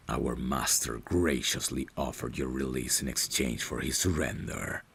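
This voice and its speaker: A man playing a courtly, caring vampire butler in an ASMR audio drama, speaking in a formal, deferential and gently solicitous way. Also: rumbling low, vocal fry, slight background noise, heavily acted. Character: courtly, caring male vampire butler, soft-spoken and protective.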